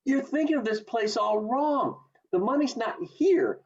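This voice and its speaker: A man's voice: very high voice, animated, unhurried. Man playing stubborn young small-town everyman, radio play, swooping intonation, stammering, earnest, muffled video-call audio. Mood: pleading. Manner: stammering, emphatic. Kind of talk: amateur radio play acting.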